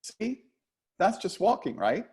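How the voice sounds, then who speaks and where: A man playing a patient, gently teasing dance instructor of sixty-one in a play reading. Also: high voice, wildly swooping pitch, takes it slowly, vocal fry, exaggerated voice. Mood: encouraging, instructive. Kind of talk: play reading over video call.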